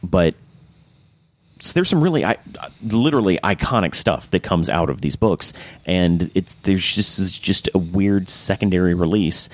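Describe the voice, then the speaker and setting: A man hosting a casual podcast chat, enthusiastic and rambling, with frequent restarts and a slightly hoarse voice. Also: sits low, animated, moderate pace. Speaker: podcast host.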